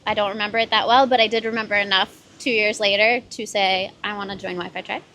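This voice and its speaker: A woman's voice: mid-range voice, fairly even intonation, fast talker, sharp tone, rough recording. An American woman from Boston chatting casually as a guest on a panel. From Boston, United States. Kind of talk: casual chat.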